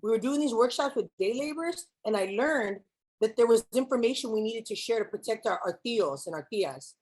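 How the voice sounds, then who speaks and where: A woman's voice: fairly high pitch, expressive, fairly quick, a little mellow. A young woman giving a keynote speech to students, switching between English and Spanish and asking the audience questions. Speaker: keynote speaker. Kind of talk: speech to students with spoken-word poem.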